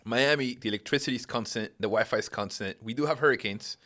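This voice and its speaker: A man's voice: mid-range voice, quick pace, bright, forceful tone. A man in his thirties, originally from Peru, explaining patiently in a casual conversation with frequent rhetorical questions.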